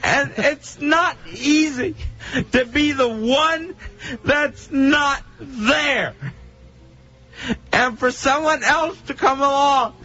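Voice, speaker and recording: A man giving a halting, emphatic, upset personal monologue on the radio. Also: very high voice, sharp tone. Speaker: radio host. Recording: dull lo-fi radio recording.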